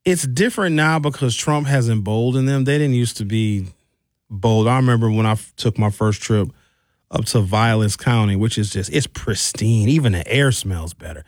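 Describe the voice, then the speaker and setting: A middle-aged American man hosting a radio talk show in an informal, opinionated storytelling style, colloquial and animated, on a close studio mic. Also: lowish voice, moderate pace, no echo. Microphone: close studio microphone.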